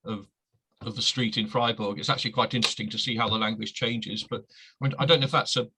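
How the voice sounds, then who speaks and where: A man's voice: medium pitch, pushed and bright, poor audio. A man speaking casually on an online panel, chatty and self-deprecating, often stumbling over his words. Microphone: video call.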